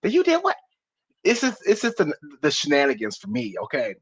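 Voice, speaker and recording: A woman's voice: low-pitched, a little fast, breathy edge. A woman on an online panel chatting casually with lots of slang. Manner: chatty, animated slang talker. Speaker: panel guest. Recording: dull lo-fi call audio.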